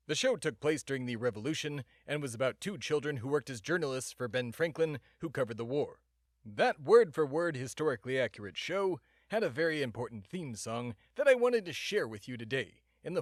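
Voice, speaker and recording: A man's voice: a little high, dry recording, clean studio sound. A man playing a pompous, self-important male patriot who hosts a comedy podcast in a mock-serious, grandiose way. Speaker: podcast host. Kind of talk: mock-serious comedic monologue and dramatic reading.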